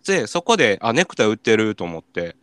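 A young man with a Kansai accent hosting a radio show, telling casual comic stories in an animated way with acted-out reactions. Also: fairly low pitch, fast, clean tone, no room sound. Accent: Kansai Japanese. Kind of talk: casual comic storytelling chat.

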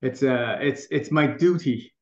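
A man's voice: mid-range voice, measured pace. Taiwan-raised man, echoey lo-fi sound. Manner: thoughtful, many restarts, laughs easily. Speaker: guest. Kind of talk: casual interview talk. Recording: echoey room, dull lo-fi sound.

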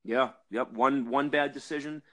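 A man's voice: mid-range voice, fairly even intonation, normal speed, fairly bright tone. A man from Massachusetts with a Boston accent, telling an industry story in a casual podcast chat with lots of fillers and restarts. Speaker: cannabis industry guest.

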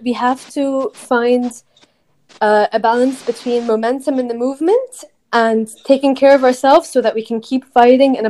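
A woman's voice: on the high side, dark tone, rough recording. A young woman from Syria in a casual group discussion. She talks in a thoughtful way, trailing off in long sentences and laughing often. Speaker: discussion panelist.

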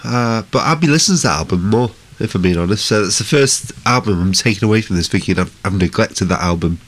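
A man's voice: fairly low pitch, expressive, quick pace, a bit bright. A man, congested with a cold, chatting enthusiastically about music as a podcast co-host. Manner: enthusiastic, effusive. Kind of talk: casual music discussion.

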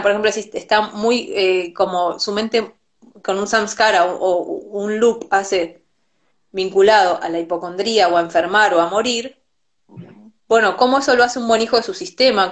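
A woman's voice: medium pitch, moderate pace. A woman from Argentina with an Argentine accent, a guest explaining ideas in a reflective, conversational way and often restarting her words. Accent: Argentine Spanish. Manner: thoughtful, repeats words when restarting. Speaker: Ayurveda teacher, guest. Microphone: video call.

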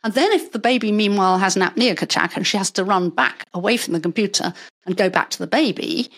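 An older woman, a retired paediatrician, talking through her working life in a measured, explanatory way, with stories along the way. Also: medium pitch, fairly bright tone. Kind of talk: conversational interview.

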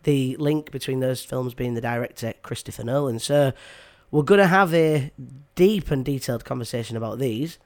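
A man's voice: medium pitch, moderate pace, few pauses. A man hosting a podcast in casual chat, stuffy from a cold, with frequent ums and restarts. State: has a cold. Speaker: podcast host.

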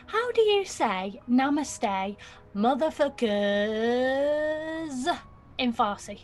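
A woman's voice: sits high, sing-song, extremely slow, full character voice. An actress playing a sulky, defiant nineteen-year-old girl in an audio play, blunt and slangy. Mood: cheeky. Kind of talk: audio theatre acting.

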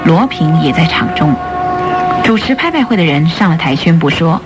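A woman's voice: low voice, big pitch swings, normal speed. A woman narrating a radio drama in a scripted storytelling style. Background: music.

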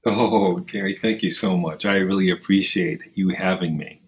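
Man, deep voice, measured formal phrasing, thoughtful interview guest. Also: fairly even intonation. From Kenya. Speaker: surgeon, podcast guest.

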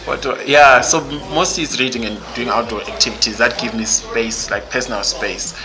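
A man's voice: mid-range voice, fairly even intonation, fairly quick, a crowd in the background, low-quality recording. A young man from Zimbabwe, talking casually and emphatically as a guest in an interview. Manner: outspoken and emphatic. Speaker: podcast guest. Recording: music playing clearly underneath.

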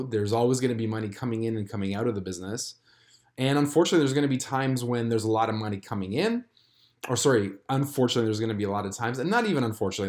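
A man in his thirties, born in Croatia, hosting a solo podcast and talking through a personal struggle in a reflective, halting way with many fillers and restarts. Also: lowish voice, a little mellow. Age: 30s. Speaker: podcast host. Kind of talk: candid solo podcast confession.

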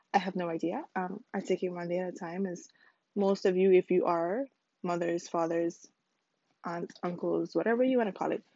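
Woman, 20s, from the Virgin Islands, solo podcast host; squeaky, rambling, self-correcting delivery; dry, close recording. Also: vocal fry, a little mellow, no room sound, dull audio. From United States Virgin Islands. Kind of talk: casual solo podcast intro.

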